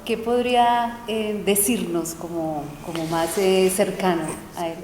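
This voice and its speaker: A Colombian woman puts questions to her guest, pausing and circling back as she searches for her words. She sounds roomy and echoey, with a long reverb tail and a faint hum behind her. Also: medium pitch, steady tone, darkish tone. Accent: Colombian Spanish. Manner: hesitant, searching for words with fillers. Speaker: interviewer. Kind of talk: interview questions.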